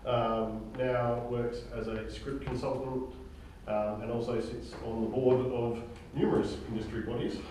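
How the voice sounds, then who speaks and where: A man's voice: mid-range voice, level tone, measured pace, hardly any pauses. Echoey, distant middle-aged man. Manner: jokey, self-deprecating asides. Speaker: director and co-writer introducing a table read. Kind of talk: informal spoken introduction to a group. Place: indoor room with group seated on chairs. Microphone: distant microphone. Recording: echoey room from far off, loud background noise.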